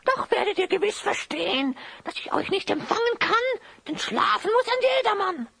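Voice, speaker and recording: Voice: very high voice, big pitch swings, moderate pace, gravelly, bright, forceful tone, no echo, exaggerated voice. An actor voicing a sly, falsely friendly hyena spy in singsong rhyme for a children's audio drama. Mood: falsely welcoming. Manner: cackling, singsong theatrical rhyme.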